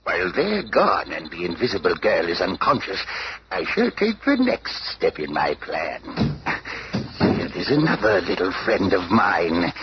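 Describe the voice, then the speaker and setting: Villain for a radio play: imperious, scheming puppet master, slow and low, swinging melodramatically. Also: male voice, huge pitch swings, full character voice. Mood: gloating. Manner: theatrical, gloating, imperious. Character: scheming, domineering male villain puppet master, cruel stepfather. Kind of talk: 1970s radio drama acting. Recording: noisy old 1970s radio recording.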